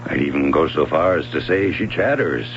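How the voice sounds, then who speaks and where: An evasive, offhand swimming coach in an old radio play. His voice is exceptionally deep. The recording is dull and has a faint hum. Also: lively intonation, normal speed, creaky, fairly bright tone, full character voice. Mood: reflective. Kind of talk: old-time radio drama acting.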